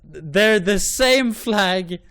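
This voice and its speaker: A man's voice: sits high, lively intonation, drawn-out words, a bit bright, smooth. A man hosting an online show, giving blunt, profane and rambling opinions. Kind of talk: ranting commentary on flags.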